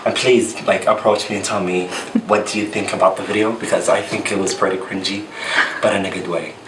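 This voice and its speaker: A man's voice: low-pitched, fairly even intonation, brisk, noisy background. A young man, a student presenter, chatting casually and playfully. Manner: chatty, playful, self-mocking. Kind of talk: casual vlog outro. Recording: roomy, from a distance, music behind.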